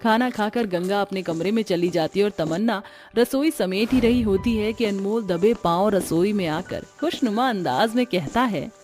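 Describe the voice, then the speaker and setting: A woman's voice: medium pitch, normal speed, few pauses, a little mellow, faint music behind. A woman reading scripted narration as the storyteller of a family tale.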